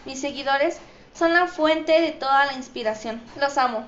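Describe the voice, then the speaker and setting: A woman with a high voice and a Mexican accent answers interview questions. The sound is echoey and somewhat dull, as if taped in a bare room. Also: normal speed, a bit bright. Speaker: interview guest, model.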